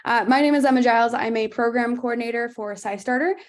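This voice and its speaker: Brief: young woman webinar host, fairly high voice, casual, full of fillers. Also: fast talker. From United States. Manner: chatty, laughs often, many fillers. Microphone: video call.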